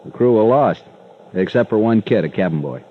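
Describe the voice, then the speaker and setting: An actor playing a helpful, matter-of-fact harbor official in a 1950s radio drama, talking in terse, plain phrases. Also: male voice, lowish voice, animated, fairly quick, lightly acted. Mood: grim, matter-of-fact. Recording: muffled, slightly noisy vintage radio.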